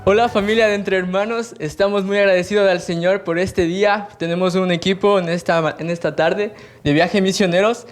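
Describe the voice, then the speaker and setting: A man hosting a podcast interview, his voice sitting a little high for a man. He greets his listeners cheerfully and asks his guests questions in a friendly way, laughing easily. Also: steady tone, fairly quick, soft background music. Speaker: podcast host.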